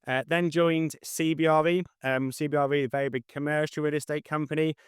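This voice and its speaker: A man with a fairly high, clear voice answers questions in a casual interview. His delivery is slightly monotone and matter-of-fact, and the sound is close and dry. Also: fairly quick, no echo. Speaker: interview guest, estate agent.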